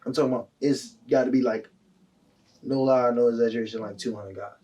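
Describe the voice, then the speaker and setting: A man's voice: medium pitch, fairly quick, far from the mic, rough recording. A young man, a guest telling his story in casual slang full of fillers. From Delaware County, Pennsylvania. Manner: animated, heavy slang, lots of fillers.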